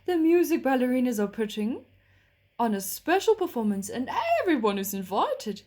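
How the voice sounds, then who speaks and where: Woman voicing a gentle, kindly storybook horse, projected. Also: a little high, big pitch swings, normal speed, pitched above the performer's own voice. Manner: courteous, kindly. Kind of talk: children's storybook character voice.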